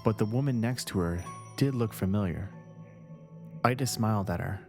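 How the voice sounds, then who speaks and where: A man reads a scary story as its narrator, in short, deliberate phrases. His voice sits slightly low, and music plays clearly behind him. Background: background music.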